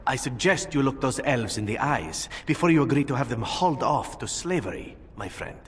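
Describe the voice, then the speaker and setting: An actor playing a principled, sternly disapproving adventurer companion in a video game. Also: male voice, mid-range voice, animated, brisk, natural voice. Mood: stern warning. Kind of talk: video game character acting. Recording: reverberant hall, faint ambient noise.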